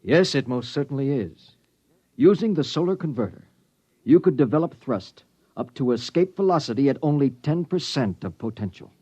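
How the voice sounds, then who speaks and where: An actor playing an earnest, excitable American engineer with a hesitant, technical way of talking, in 1950s radio drama style. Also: male voice, fairly high pitch, no room sound. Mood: excited. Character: earnest, excitable male engineer awed by discovery. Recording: dull, dry vintage radio recording.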